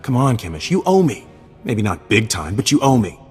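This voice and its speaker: Sanju Pine, an eager young mole. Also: male voice, lowish voice, big pitch swings, normal speed, a little mellow, slightly rough, faint music behind, full character voice. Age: young adult. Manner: nervous, eager, chatty. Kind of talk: video game cutscene acting. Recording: reverberant hall sound.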